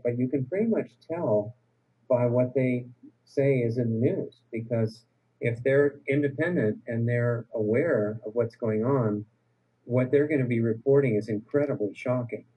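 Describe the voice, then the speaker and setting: A man's voice: medium pitch, mellow, breathy edge, poor audio. A man speaking as a guest on a call-in radio show, earnest and encouraging, often restarting his sentences. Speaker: guest health researcher.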